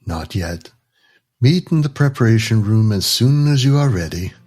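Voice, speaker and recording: An actor playing a solemn masked cult doorkeeper in an audio drama, with a grave ceremonial delivery and a husky voice altered by a mask. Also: male voice, lowish voice, expressive, normal speed, a little mellow, faint music behind. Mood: grave, curt.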